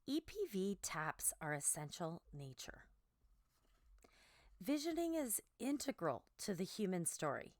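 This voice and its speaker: A woman reading a book aloud as scripted narration, with measured, deliberate phrasing. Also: on the low side, sing-song, no echo.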